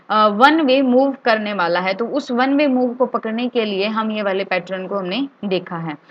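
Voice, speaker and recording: A woman's voice: a little high, highs cut off. A woman with an Indian accent giving a step-by-step tutorial as an instructor, repeating key points for clarity and mixing in English terms. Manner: mixes English terms and phrases into speech. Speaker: trading instructor.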